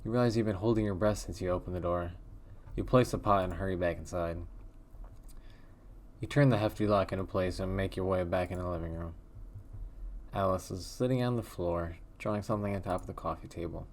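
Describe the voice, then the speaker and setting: A man reading a text horror game aloud in a hushed, measured way with a wondering, uneasy tone. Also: deep voice. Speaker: let's play host.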